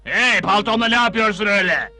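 A greedy, bullying crook with a fairly high voice in a cartoon dub. He is excitable and scheming, with menace in how he talks. Music runs clearly behind him. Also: brisk. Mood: gruff, bossy.

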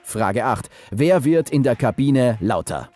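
Slow, lively man reading quiz questions. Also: medium pitch, soft background music. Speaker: quiz host off camera.

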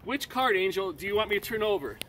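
A man in his fifties with a high voice performs a card trick outdoors, counting aloud and quizzing the kids around him in a chatty showman way. He sounds like he is caught by a camera mic a few meters off. Loud street noise runs under everything. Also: animated, fast, far from the mic. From Chicago. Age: 50s. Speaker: street magician and preacher. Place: residential street outdoors, kneeling on the sidewalk. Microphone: camera mic from a few meters away.

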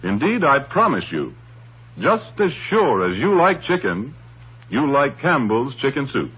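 A man's voice: mid-range voice, sing-song, measured pace, husky. A man announcing and advertising on 1930s radio in a formal, polished, persuasive scripted style. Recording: thin, phone-like 1930s radio recording.

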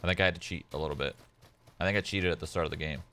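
A man's voice: rumbling low, rapid-fire, pushed and bright. A young man whose first language is Polish, chatting casually as a game streamer at home, laid-back and rambling with lots of "like" fillers. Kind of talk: casual chat while gaming.

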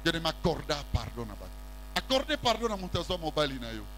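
A middle-aged preacher with a high, bright voice that swoops and climbs as he works the room. He keeps pulling answers from the congregation on a handheld mic. A faint hum runs under the recording. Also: big pitch swings, moderate pace, rough recording. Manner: call-and-response preaching, mixing French and Lingala; walks about the stage while preaching. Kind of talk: sermon. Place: large church hall with congregation.

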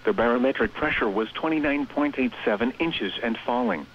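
A text-to-speech man delivers scripted forecasts in an impersonal, measured manner. His voice sits at a middle pitch. It comes over weather radio, slightly muffled with a faint hiss behind it. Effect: radio transmission.

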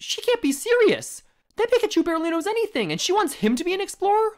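A woman's voice: very high voice, very animated, quick pace. A woman voicing a skeptical, moody young jackal-like creature in a comic dub, blunt and grudging. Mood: indignant. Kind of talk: fan comic dub voice acting.

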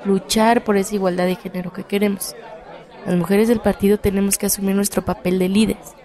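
A woman's voice: medium pitch, flat delivery, a little fast, dark tone, some chatter behind, close to an everyday voice. A woman playing a determined, encouraging activist leader in a radio soap, firm and colloquial, with a Mexican accent. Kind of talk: radio soap opera acting.

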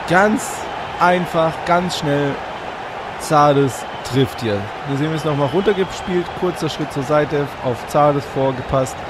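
Excitable gamer with a cold, fan whirring. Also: male voice, a little high. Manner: excitable, bursts into shouts at goals. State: a bit congested with a cold. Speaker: gaming channel host. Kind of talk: let's play commentary. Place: hot room at home with a fan running. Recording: audible fan noise.

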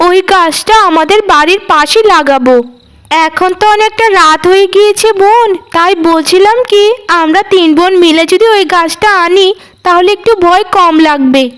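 A woman voicing a scheming eldest sister in a cartoon, a falsely sweet young woman who coaxes with drawn-out phrases. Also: very high-pitched, fairly bright tone, voice pushed up. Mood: coaxing, falsely sweet.

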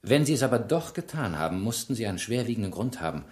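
A man's voice: lowish voice, sing-song, fast, a little mellow. An actor playing a shrewd, relentless French police inspector in a German radio crime drama, ironic and insistent as he questions. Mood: insistent, methodical. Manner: probing, ironic, insistent interrogator.

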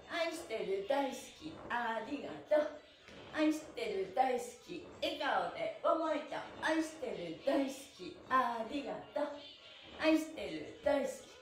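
A woman with a high voice that rings in an echoey room, leading a livestream workout. She is middle-aged and encouraging, with very lively intonation as she cues and chats to viewers. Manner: cheerful, encouraging, animated, chanting cues in singsong polite phrases. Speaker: stretching instructor and livestream host. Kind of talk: live exercise class, calling out moves and chatting to viewers. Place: small bare indoor room with wooden floor.

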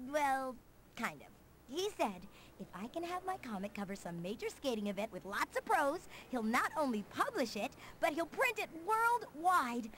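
A high, excitable young comic artist. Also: female voice, heavily acted. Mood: excited, nervous. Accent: American. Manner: gushing, slangy. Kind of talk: video game cutscene acting.

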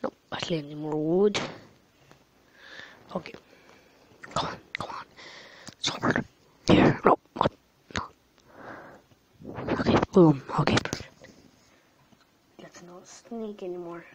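A young woman with a tired voice commenting on a game she is playing late at night at home, gasping and jumping between alarm and hushed whispers. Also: lowish voice, slow, pauses often, background noise. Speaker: gaming channel host. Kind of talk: live gameplay commentary.

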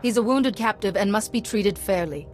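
An actress playing a calm, principled young Jedi in a video game, measured and earnest. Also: female voice, medium pitch, close to an everyday voice. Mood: firm, measured. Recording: music playing behind the voice.

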